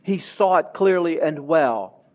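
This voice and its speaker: Slow, emphatic man preaching a sermon. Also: on the high side, lively intonation, dull audio. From Honduras. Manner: slow, emphatic preaching, swelling louder on key words. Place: church.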